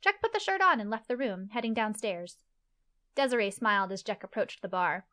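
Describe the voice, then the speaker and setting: A woman's voice: medium pitch, expressive, a little fast, pushed and bright. A woman reading scripted fantasy story narration as the narrator of an audio play.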